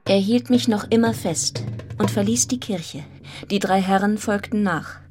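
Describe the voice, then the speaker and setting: Radio drama lead for an actress: wounded, resolute young governess, medium register, intense yet restrained narration, musical backing. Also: female voice, medium pitch, a little slow, over music, natural voice. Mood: tense, restrained. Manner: restrained, intense, breaking into hushed fragments. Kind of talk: German radio play narration and acting.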